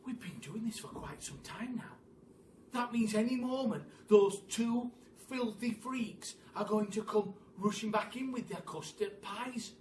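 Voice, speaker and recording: Bloke doing a bossy monkey for storytime, high voice, urgent, a bit far from the mic. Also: male voice, unhurried, darkish tone. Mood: anxious, urgent. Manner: urgent, instructing, listing. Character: bossy, eager male monkey, leader of the animals. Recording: roomy, distant mic, faint hiss.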